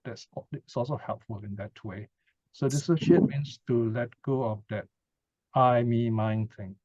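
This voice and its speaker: A man's voice: medium pitch, normal speed, a little mellow. A man giving an informal Buddhist teaching talk and answering questions, conversational and often checking in with short tag questions. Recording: dull lo-fi sound, dry.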